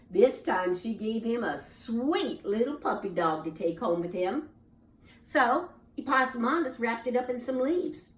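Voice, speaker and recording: A woman telling a children's story aloud in an animated, rhythmic way, with repeated phrases and dramatic pauses. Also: medium pitch. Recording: echoey room, distant from mic.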